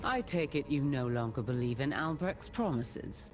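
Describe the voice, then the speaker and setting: A tough heroine in a fantasy game. Her voice is low and lively in intonation, firm and clipped, with loud music behind it. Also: normal speed. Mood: wary. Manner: terse, firm. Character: tough, commanding female adventurer hero. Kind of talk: fantasy video game acting.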